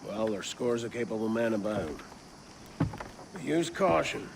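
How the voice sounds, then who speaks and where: An actor playing a worldly veteran pirate in a video game, imposing, wry and grandly defiant, with a measured, rhetorical way of talking. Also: male voice, on the low side, animated, gravelly, noisy background. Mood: cautioning. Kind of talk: theatrical video game acting.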